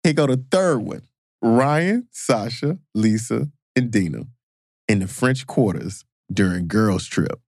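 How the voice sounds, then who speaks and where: A man co-hosting a podcast, running a playful quiz and getting excited over the answers. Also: fairly low pitch, slow, dry recording, clean studio sound. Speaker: podcast co-host. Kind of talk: casual podcast chat.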